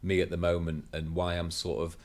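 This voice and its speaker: Brit guy late thirties, very low voice, chatty and rambly, fillers everywhere. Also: level tone, brisk. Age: 30s. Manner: rambling, lots of fillers and agreeing murmurs. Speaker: podcast co-host. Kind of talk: casual chat. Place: home garage workshop.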